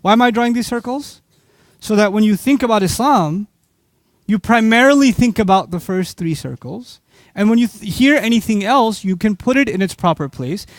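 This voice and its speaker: A high-pitched man delivers a lively religious lecture, firing rhetorical questions at his listeners and answering them himself. His voice sits close and dry, with no room around it. He talks with quick asides and sudden jumps in pitch when he makes a point. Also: no echo. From Pakistan. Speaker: Islamic speaker. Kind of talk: lecture to a live audience.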